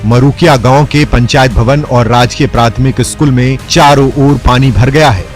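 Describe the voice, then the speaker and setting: Guy doing formal news voiceover, regular male pitch, bit of music behind him. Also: moderate pace. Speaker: news voice-over reporter. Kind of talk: scripted news narration.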